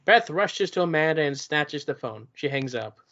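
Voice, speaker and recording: Stage direction reader, flat and even, lo-fi. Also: medium pitch, normal speed. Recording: dull lo-fi recording.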